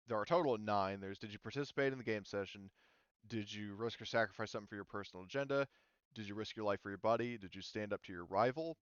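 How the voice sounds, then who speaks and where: A low, smooth male voice in a very dry, slightly muffled recording. He is a game master talking his group through the rules, informally and haltingly, feeling for his words as he goes. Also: a bit bright, dry recording. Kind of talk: casual group discussion of tabletop game rules.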